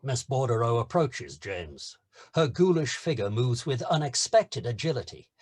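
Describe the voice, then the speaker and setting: A male narrator reads screenplay action at a table read. His voice is mid-pitched and husky, with a lively, varied intonation. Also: normal speed.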